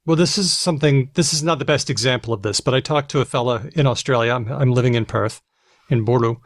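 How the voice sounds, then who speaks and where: A man's voice: medium pitch, fast talker, no echo, clean studio sound. An American man hosting a conversational podcast interview, speaking in a reflective, anecdotal way. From United States. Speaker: podcast host and linguist.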